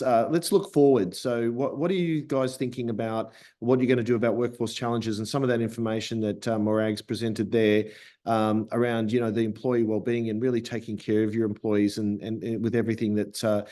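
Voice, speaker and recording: A man's voice: fairly low pitch, darkish tone. An Australian man moderating an online panel, rambling and stumbling over repeated words.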